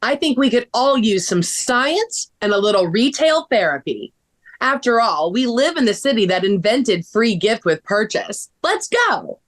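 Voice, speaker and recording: Woman playing an animated, caring young heroine. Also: on the high side, measured pace, no room sound. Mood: caring, upbeat. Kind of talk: table read of a children's story.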